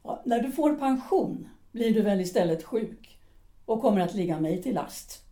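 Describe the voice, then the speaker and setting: A woman's voice: medium pitch, animated, mellow, natural voice. A woman playing an embittered middle-aged wife and former actress in an audio drama, talking with dry, cutting sarcasm. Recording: roomy room sound.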